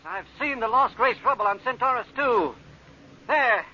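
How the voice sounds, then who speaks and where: An actor in an old-time radio drama playing an earnest, excitable archaeology expert, polite but insistent. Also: male voice, very high voice, normal speed. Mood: amazed. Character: earnest, excitable male archaeology expert, uneasy space traveler. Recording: muffled, hissy vintage radio recording.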